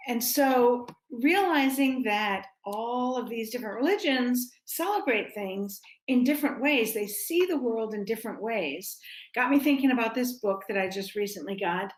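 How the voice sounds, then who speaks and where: A woman speaking simply to children as a church storyteller at story time. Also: a little high. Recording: roomy echo.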